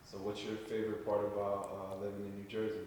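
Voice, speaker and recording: A man's voice: on the low side, monotone, fast talker, a little mellow. A man hosting an interview, asking short, laid-back questions. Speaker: host, interviewer. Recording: echoey room with faint background noise.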